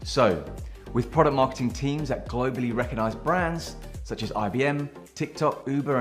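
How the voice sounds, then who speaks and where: A man reads a scripted promotional pitch in an even, mid-pitched voice. Faint music plays beneath him. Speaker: promo announcer.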